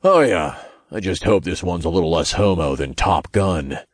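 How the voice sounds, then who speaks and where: A man voicing a tiny, crude male imp in an audiobook, loud, slangy and obnoxious. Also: low voice, expressive, moderate pace, breathy edge, dry recording, studio quality. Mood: cocky.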